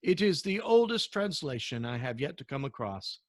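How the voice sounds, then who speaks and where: Courteous antique dealer played by a male reader, storybook character, bookish, measured, eloquent, dry close sound. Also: medium pitch, big pitch swings, no echo, pitched above the performer's own voice, natural voice. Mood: learned, proud.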